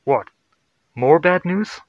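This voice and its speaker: A man playing a tense, hot-headed spaceship crewman, snappy and forceful, in a sci-fi horror audio story. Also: high voice, lively intonation, slow pace, no echo, muffled recording, pitched above the performer's own voice, full character voice. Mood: alarmed, snapping.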